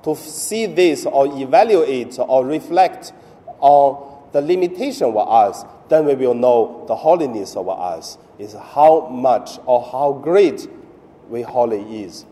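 A man from mainland China preaches in English with a Chinese accent, his phrasing halting and broken up by fillers. His voice is mellow and unhurried, and it rings with a long church reverb. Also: mid-range voice, expressive. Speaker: priest. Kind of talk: Sunday homily.

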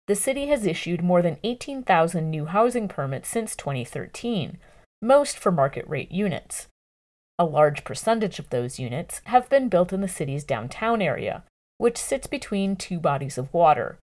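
A woman reading a scripted news article aloud in a measured, journalistic way with clear enunciation. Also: fairly low pitch.

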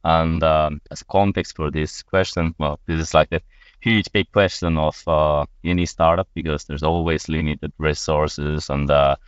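A man's voice: a bass voice, steady tone, a little slow, clean tone, no echo. A man from Finland, a marketing officer, talking in a podcast panel with lots of hesitant fillers. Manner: hesitant, filler-heavy explaining. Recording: dull dry lo-fi sound.

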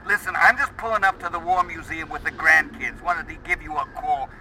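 An actor playing a blustery, loyal older grandfather and fixer, brisk, emphatic and wry, in an audio drama. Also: a little high, steady tone, bright, forceful tone, a little hiss or hum, low-quality recording. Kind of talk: naturalistic audio drama acting.

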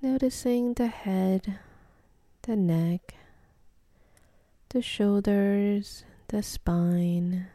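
A woman leading a guided meditation in a soft, breathy, soothing voice, gently giving instructions with long pauses. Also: mid-range voice, steady tone, no room sound.

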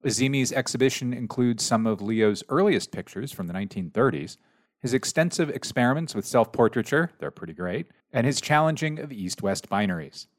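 A man's voice: medium pitch, animated, a little fast, no room sound, studio quality. A man hosting an art podcast, reading sponsor spots and asking his guest questions with some restarts. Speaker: podcast host.

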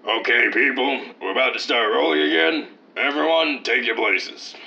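A man's voice: mid-range voice, moderate pace, creaky, bright, forceful tone. An actor playing a vain, condescending leading man of old-time radio, pompous and theatrical. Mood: commanding. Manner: pompous, theatrical, swooping emphasis. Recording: dull lo-fi sound, faint noise.

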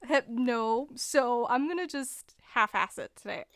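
A woman's voice: on the high side, measured pace, a bit bright, no echo, clean studio sound. A woman co-hosting a casual podcast, chatty and laughing often, sounding congested from a cold. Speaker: podcast co-host.

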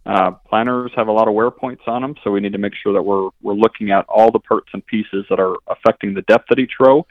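A man's voice: fairly low pitch, steady tone, fairly quick, no room sound. A man from an equipment dealership, explaining things as a radio guest and often restarting his sentences. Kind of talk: interview answers. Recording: dull, lo-fi line.

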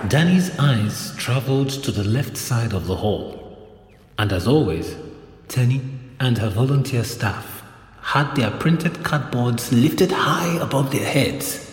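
Slow male narrator in roomy reverb. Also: medium pitch, expressive, slight background noise. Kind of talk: scripted audio drama narration. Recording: roomy reverb, faint music.

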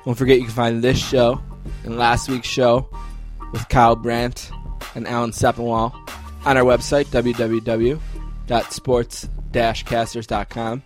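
A man's voice: mid-range voice, little pitch movement, unhurried, faint music behind. A man hosting a podcast, reading out plugs and chatting casually. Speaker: podcast host. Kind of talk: podcast sign-off and casual chat.

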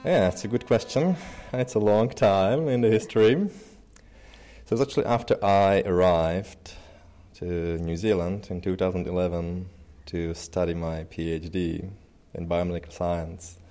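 Man, 30s, deep warm voice, relaxed rambling interview guest. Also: measured pace. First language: Czech. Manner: loose and chatty, many fillers and restarts. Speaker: interview guest, pianist and researcher. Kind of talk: casual interview talk.

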